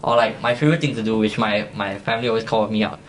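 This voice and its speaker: A young man hosts a casual podcast chat, talking in lively quick bursts and laughing often. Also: lowish voice, a little hiss or hum, poor audio. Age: young adult. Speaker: podcast host.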